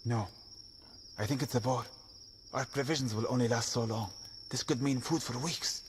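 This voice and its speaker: Man playing wry hunting companion, dry low-key delivery, audio game drama, clearly noisy insect-filled outdoors. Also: moderate pace, close to an everyday voice. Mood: hushed, watchful. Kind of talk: naturalistic audio game acting. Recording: noisy outdoor ambience with crickets.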